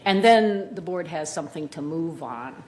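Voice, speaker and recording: A woman answering off the cuff as a candidate at a public meeting, speaking haltingly and searching for words. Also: deep voice, animated, darkish tone, a little hiss or hum. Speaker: board candidate at a community forum. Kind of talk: impromptu spoken answer at a meeting.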